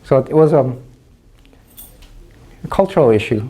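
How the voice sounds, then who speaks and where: A man from Korea with a Korean accent, speaking English as his second language and telling his own story haltingly, with restarts. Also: medium pitch, lively intonation, mellow, husky. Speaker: interviewee. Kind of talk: personal testimony.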